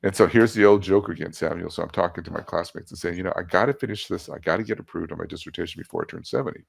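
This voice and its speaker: Older man, 70s, low and gravelly, easygoing storyteller who jokes about himself. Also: rapid-fire. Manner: chatty, self-deprecating, laughs at his own jokes. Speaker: guest. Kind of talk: casual storytelling interview.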